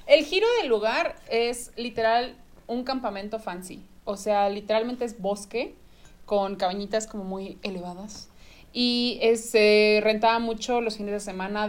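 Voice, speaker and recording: Chatty young Mexican woman telling stories. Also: mid-range voice. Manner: animated storytelling with Mexican slang. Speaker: guest. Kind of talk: casual podcast chat. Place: studio in front of a camera and light.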